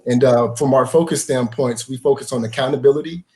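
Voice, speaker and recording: A man's voice: medium pitch, darkish tone. Earnest man, nonprofit co-founder. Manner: earnest, measured, many fillers. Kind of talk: casual panel talk.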